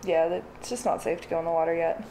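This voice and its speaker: A woman's voice: fairly low pitch, fairly even intonation, fast, mellow, slight background noise, poor audio. A young adult woman hosting a craft livestream, chatting casually in a blunt, dry way. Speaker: livestream host. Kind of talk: casual chat while doing crafts. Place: living room or home craft room.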